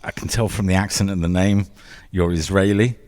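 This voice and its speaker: A deep, low-pitched man co-hosts a travel podcast. He talks in a relaxed, conversational way and asks curious questions. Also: brisk. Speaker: podcast co-host.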